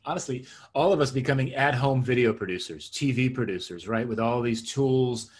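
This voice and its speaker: A man's voice: mid-range voice, normal speed, breathy edge. A middle-aged man from Israel and New Jersey, a native Hebrew speaker, answering interview questions conversationally and reflectively, repeating phrases for emphasis. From born in Israel, raised in suburban New Jersey. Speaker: interview guest, tech consultant and coach.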